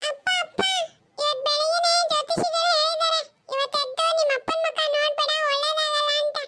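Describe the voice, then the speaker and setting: Casting: a silly young cartoon son and schoolboy, tiny very high voice, earnest and childlike. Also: flat delivery, brisk, bright, forceful tone. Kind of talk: comedy cartoon dialogue.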